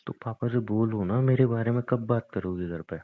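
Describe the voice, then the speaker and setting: A man's voice: on the low side, moderate pace, close to an everyday voice. A young man playing a pleading, sulky, clingy boyfriend in a casual romantic phone-call skit, with a whiny, coaxing manner. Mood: insistent, sulky. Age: young adult. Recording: thin, narrow phone-line sound.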